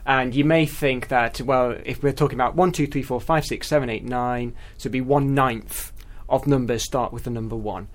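A man's voice: mid-range voice, fairly even intonation, moderate pace, few pauses. A man chatting casually as a guest mathematician, explaining things step by step with enthusiasm.

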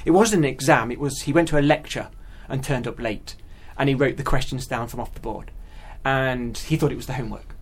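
A man telling stories as a guest in casual conversation, animated and often repeating words as he goes. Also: medium pitch, brisk, hardly any pauses. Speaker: guest mathematician.

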